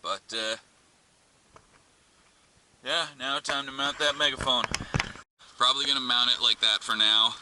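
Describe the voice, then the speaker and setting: Male DIY vlogger, offhand and informal how-to talk, medium pitch, clearly noisy amateur audio. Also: fairly even intonation, a little slow, long pauses. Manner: informal, offhand, mildly crude slang. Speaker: vlogger, DIY car channel host. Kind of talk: casual hands-on how-to narration.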